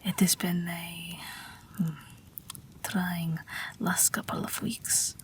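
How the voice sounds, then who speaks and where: A woman's voice: fairly low pitch, monotone, full character voice. A woman voicing an ageless Russian night witch pilot, wry, tender and weary, in an intimate, whispery audio roleplay. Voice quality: breathy, whispery. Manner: gentle, dryly wry, unhurried.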